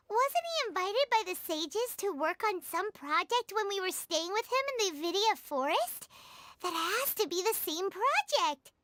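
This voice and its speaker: A woman voicing a tiny, anxious, excitable fairy companion in a video game, chattering in a squeaky childlike voice. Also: no room sound, heavily acted. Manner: squeaky, chattering, refers to herself in third person. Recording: music under the voice.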